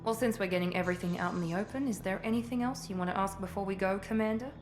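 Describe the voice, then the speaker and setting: A woman's voice: medium pitch, rapid-fire, music in the background, close to an everyday voice. A woman playing a cool, self-assured, ruthless covert operative in a video game, with a clipped, haughty, businesslike delivery. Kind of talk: video game cutscene acting.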